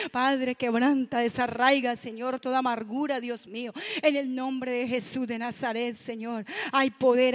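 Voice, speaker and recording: A woman leading her church in fervent spoken prayer, constantly repeating invocations between short phrases. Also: on the high side.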